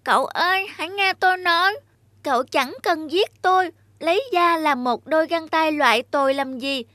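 A woman's voice: high-pitched, moderate pace, sharp tone, no room sound, studio quality. A woman voicing a clever, confident talking cat in a fairy-tale cartoon, persuasive and polite with a theatrical manner.

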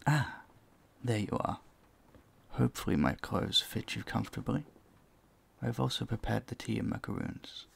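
A man playing a courteous, charming vampire in an intimate audio roleplay, soft-spoken, breathy and soothing, often close to a whisper. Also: lowish voice, moderate pace, pauses often, full character voice. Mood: shy, tender. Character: gentle, courteous male vampire, charming and doting. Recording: faint ambient noise behind the voice.

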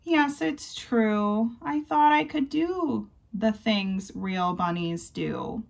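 A woman voicing a big, gentle, insecure male elephant in a children's storybook, earnest and emotional. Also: a little high, slow, vocal fry. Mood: tearful.

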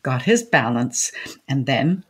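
A woman's voice: very deep voice, very animated, takes it slowly, slightly rough, exaggerated voice. An older woman playing a brazen, cranky widowed grandmother in a comic radio play, acerbic, theatrical and contrary. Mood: lurid, brooding. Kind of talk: comic audio drama acting.

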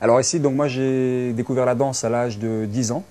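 A man's voice: mid-range voice, fairly even intonation, normal speed, music in the background. A man in his early thirties from Belgium, speaking French with a Belgian accent, telling his own story conversationally in an interview and often restarting with filler words. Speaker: interviewee, dance teacher and social worker. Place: small furnished lounge indoors.

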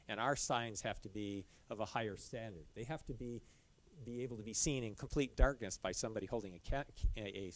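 A middle-aged man giving a casual slide talk in a dim lecture room, with many restarts and fillers. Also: lowish voice. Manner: loose, halting, frequent restarts and fillers. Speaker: caving club presenter.

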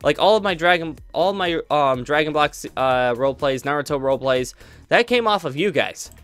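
A man's voice: fairly high pitch, expressive, normal speed, a bit bright, smooth, dry recording, faint music behind. A young man chatting casually to his viewers, rambling with many fillers and restarts. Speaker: YouTube roleplay creator.

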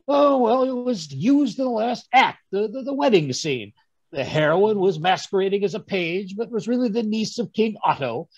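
A man playing a genial, fussy older English gentleman, chattily recounting his adventures in old-time radio drama style, with a husky voice. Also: high voice, expressive, moderate pace, no echo. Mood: flustered, animated. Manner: chatty, chuckling, rambling storyteller with stammered asides. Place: home room on a group video call. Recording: dull, dry video-call audio.

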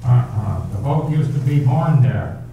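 Male actor as an awkward estate manager, slow and halting, tinny echo. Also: mid-range voice, animated, vocal fry, slight background noise. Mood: reluctant. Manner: halting, hesitant. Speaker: amateur radio drama actor. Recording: tinny, echoey and distant.

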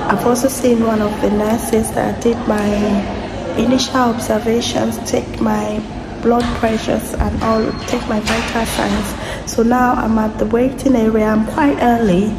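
A woman in her thirties with a hoarse, sore-throated voice, chatting to camera in a casual vlog and explaining each step as she goes. She talks into a phone held at arm's length. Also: fairly high pitch, background noise. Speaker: vlogger. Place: hospital waiting area, indoors. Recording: echoey room, loud background ambience.

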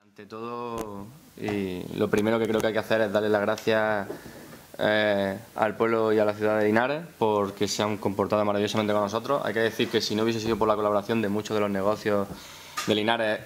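A man's voice: fairly low pitch, flat delivery, a little fast, a little hiss or hum. A man. He talks informally at a press conference as a director, sometimes repeating words while he thinks. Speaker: film director presenting a project. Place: press room at a town hall, seated at a table. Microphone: gooseneck table microphone.

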